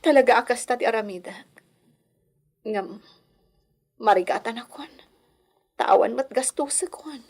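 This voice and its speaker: A worried mother in a radio play, acted by a woman, with a fairly high, breathy voice. She sounds plaintive and earnest, pleading for her children with a husky edge. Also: measured pace, long pauses, a little mellow. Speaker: radio drama actress. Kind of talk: Ilocano radio drama acting.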